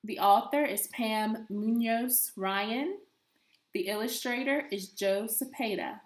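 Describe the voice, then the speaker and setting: Slow-paced woman reading a storybook, echoey room. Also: fairly high pitch. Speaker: elementary school teacher reading aloud. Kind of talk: storybook read-aloud for young children.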